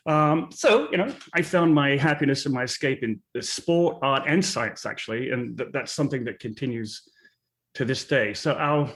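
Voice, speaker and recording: A man raised in Australia and England, a musical's co-creator, answering interview questions in a reflective, halting way. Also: medium pitch, a bit bright. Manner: reflective, halting, with many fillers.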